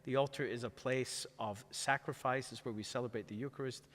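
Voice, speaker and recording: A man's voice: medium pitch, steady tone, brisk, fairly bright tone. Man hosting, in a long hall reverb. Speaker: parish pastor hosting a live interview. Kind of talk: conversational live interview hosting. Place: church, before the altar.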